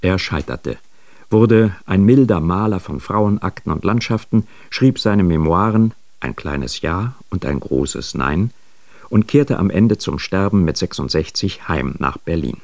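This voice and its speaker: A man reading a scripted biographical introduction for radio, with deliberate, emphatic phrasing. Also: low-pitched, lively intonation, a little mellow, no echo.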